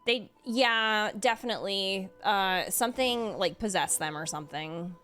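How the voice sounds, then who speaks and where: A woman's voice: medium pitch, unhurried, fairly bright tone, smooth, soft background music. A woman game streamer giving informal, giggly live reactions in casual chat.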